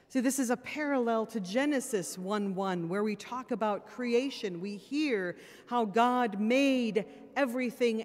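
A woman priest preaches at a pulpit microphone in a church, her words trailing into a long hall reverb. She talks in an earnest, plain-spoken way, drops in wry asides, and sounds a little tired. Her pace is slow and unhurried. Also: fairly high pitch, darkish tone. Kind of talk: sermon.

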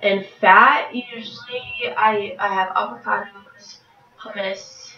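A woman's voice: mid-range voice, steady tone, slow pace, soft background music. A woman in her thirties casually chatting through meal prep tips in her home kitchen, rambling with lots of ums, getting over a cold, recorded by a camera across the room. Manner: rambling, many ums, moves around while talking. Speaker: fitness vlogger. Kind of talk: casual how-to chat. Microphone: far camera. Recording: roomy, distant, dull camera audio.

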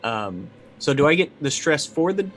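A middle-aged man playing a tabletop roleplaying game, improvising casually and haltingly as he thinks aloud. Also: on the high side, sing-song, fairly bright tone, soft background music.

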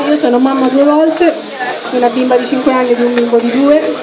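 High-voiced woman chef demonstrating a recipe. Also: steady tone, brisk, rough recording. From Rome. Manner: explains each step while cooking. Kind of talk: live cooking demonstration. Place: restaurant dining room with audience. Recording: chattering crowd behind.

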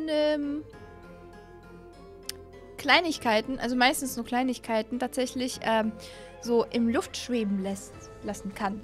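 A young woman hosting a gaming video, giving casual, animated and excitable commentary into a close desk microphone. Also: sits high. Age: young adult. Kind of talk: casual let's-play commentary. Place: small indoor streaming room. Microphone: close desk microphone on an arm. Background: faint music.

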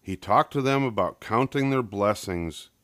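An older man narrates a children's storybook. His voice sits low, and the recording is dry, with hardly any room around it. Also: animated, normal speed, a bit bright, no room sound. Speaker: storybook reader.

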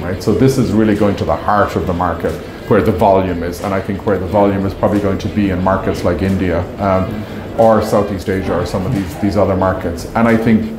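Male executive, fifties, conversational explainer on a lapel mic in an echoey room with music underneath. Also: deep voice. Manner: explanatory, often checks with "right?". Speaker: company executive being interviewed. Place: indoor meeting room at trade show. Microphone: clip-on lapel mic. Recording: roomy echo, music underneath.

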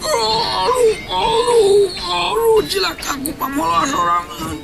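A man's voice: very high-pitched, animated, slow, gravelly, loud music behind the voice, pitched above the performer's own voice, exaggerated voice. A man with a Sundanese accent voicing a villainous fighter crying out in panic in an oral folktale. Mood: panicked agony. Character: wailing villain fighters struck down in battle.

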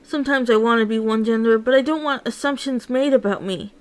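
A woman's voice: fairly high pitch, brisk, smooth. A woman narrating a first-person story read-along in an emotive, theatrical way.

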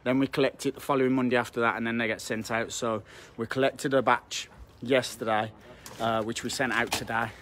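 A young man talks straight into a handheld phone while he picks his way through a dark, derelict building. His speech is loose and blunt, with the odd swear, and he often turns to address his viewers. A faint hiss runs under the audio. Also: mid-range voice, little pitch movement, a little fast. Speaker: urban explorer vlogger. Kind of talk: casual live-stream chat. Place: dark abandoned building indoors. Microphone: phone at arm's length.

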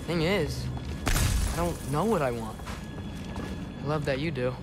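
A man's voice: a little high, measured pace, lightly acted. A man playing an earnest teenage boy adventurer in a video game, youthful and slightly awkward, a little unsure of himself. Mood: reflective. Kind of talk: video game cutscene acting. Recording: loud ambient game noise behind.

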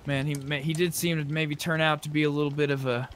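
A man with a fairly high voice chats casually while roleplaying in an online game. There is some faint noise and game ambience behind him. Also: level tone, fast, fairly bright tone. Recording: game voice chat, noisy background.

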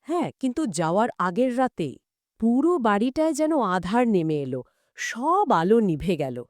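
A woman with a slightly high, very expressive voice speaks in a dry recording. She co-hosts in a scripted conversational style, retelling with drama and posing curious questions. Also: a little slow, no room sound. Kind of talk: scripted-sounding conversational storytelling.

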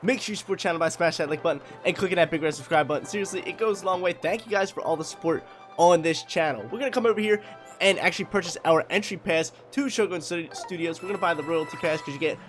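Young male let's-play commentator, a bit hoarse, fast and chatty, somewhat high voice, headset mic in a room. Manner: hyped, chatty, slangy. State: slightly hoarse from a sore throat. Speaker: gaming YouTuber. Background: faint game music.

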